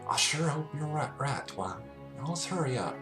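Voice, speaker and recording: Man voicing a folksy, earnest farm mare, pitched up. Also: animated, normal speed, roomy sound, over music, natural voice. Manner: folksy, frank. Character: plain-spoken, earnest female farm pony.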